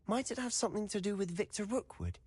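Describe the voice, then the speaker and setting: A man's voice: high-pitched, fast, a little mellow, husky. A man voicing a confident, inquisitive teenage wizard schoolboy in a video game, with a British accent and a conversational, conspiratorial manner. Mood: curious, probing. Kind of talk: scripted video game dialogue.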